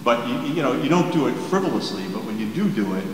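A man's voice: mid-range voice, big pitch swings, fast talker. A man in his sixties giving a keynote in a candid, plainspoken way, with frequent restarts, answering questions before a seated audience. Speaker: keynote speaker. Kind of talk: conversational keynote Q and A. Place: large hall with a seated audience. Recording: echoey hall with background noise.

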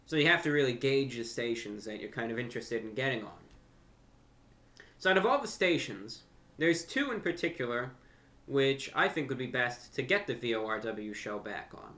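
A young man talking unscripted to camera as a host, with deliberate, slightly formal phrasing, pausing and repeating words. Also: mid-range voice, bright, forceful tone. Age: young adult. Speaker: YouTube host and amateur radio broadcaster. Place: furnished room at home, indoors.

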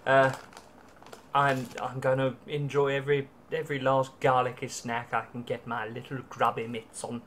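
A young man with a British accent chats wryly into a laptop webcam. He talks in a middle pitch and fills his sentences with ums and restarts. A faint hiss of room noise sits under his voice. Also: little pitch movement, normal speed, fairly bright tone. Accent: British English. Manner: chatty and wry, with many ums and restarts. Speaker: travel vlogger. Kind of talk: casual food-tasting vlog. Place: small hotel room, indoors.

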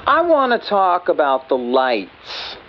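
Blustering skeptic caller, lively pitch. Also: male voice, slow pace, a little hiss or hum, exaggerated voice. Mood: indignant. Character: grumpy, muddled male skeptic caller. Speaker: radio caller. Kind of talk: radio drama phone-in acting. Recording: dull phone-in line.

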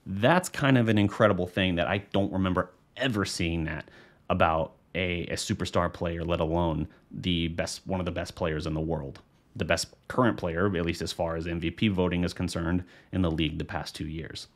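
A man's voice: sits low, steady tone, normal speed. An American man in his thirties giving casual, unscripted postgame basketball analysis in a conversational, rambling way into a studio microphone. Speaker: sports show host and analyst. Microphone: studio microphone on a boom arm.

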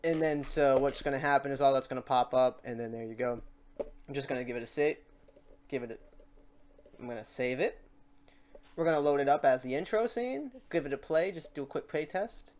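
A man with a clean, smooth voice talks through a software tutorial as its host. He is informal and unscripted, working things out aloud as he goes. He is quick to poke fun at his own work. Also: mid-range voice. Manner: informal, thinking aloud, self-deprecating. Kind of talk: casual, improvised software tutorial.

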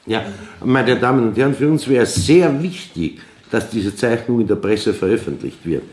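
An actor playing a courteous, officious police official with an Austrian accent in a radio drama, speaking formally and emphatically. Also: male voice, medium pitch, lively intonation, moderate pace, darkish tone, close to an everyday voice. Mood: businesslike. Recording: roomy echoing hall.

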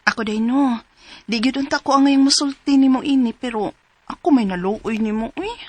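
A woman acts out a nosy female friend who likes to tell tales, in a high voice. She has a Cebuano accent and a probing, conversational radio drama delivery. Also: normal speed, close to an everyday voice. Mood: concerned, confiding. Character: gossipy female friend, nosy, concerned tattletale.

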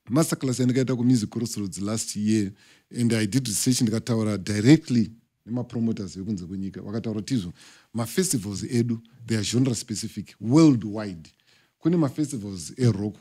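A middle-aged man with a Zimbabwean accent holds forth in a studio podcast, rattling quickly through his points. His voice is mellow and dark, and he presses each point home with emphasis. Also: fairly low pitch. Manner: emphatic, lecturing, talks with his hands. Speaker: podcast guest, music industry figure. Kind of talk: podcast interview, mixing English and Shona. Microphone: studio mic on boom arm.

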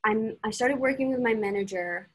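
A woman's voice: fairly high pitch, moderate pace, husky. Young woman, chatty and upbeat, laptop mic on a video call, small room. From Sweden. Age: young adult. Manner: chatty and upbeat, fillers and restarts. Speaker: singer being interviewed. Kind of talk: casual video-call interview. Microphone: laptop mic on a video call, no earphones.

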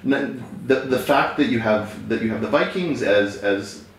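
A male interviewer asking long, halting questions with many restarts. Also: mid-range voice. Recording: very echoey room, off-mic.

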